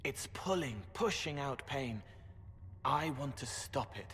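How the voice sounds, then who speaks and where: An actor voicing a gentle, boyish young spirit in a game cutscene, earnest and literal, speaking in short cryptic phrases. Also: male voice, medium pitch, animated, takes it slowly, husky, heavily acted. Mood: earnest, pleading. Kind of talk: video game cutscene acting. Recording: hall reverb, game music behind.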